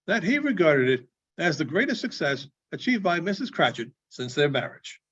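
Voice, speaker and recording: A man reading a classic story aloud in a theatrical, deliberate manner with dramatic pauses. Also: high voice, a bit bright. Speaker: librarian giving a public reading. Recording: dull, muffled lo-fi recording.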